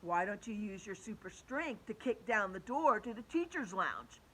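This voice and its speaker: A woman reading an audiobook voices a cheeky schoolboy in a lower, teasing tone. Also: animated, moderate pace, sharp tone, breathy edge, poor audio.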